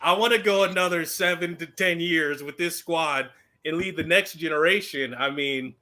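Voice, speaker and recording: Guy on a football fan podcast, really bright high voice, slangy n animated. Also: moderate pace. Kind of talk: casual sports chat.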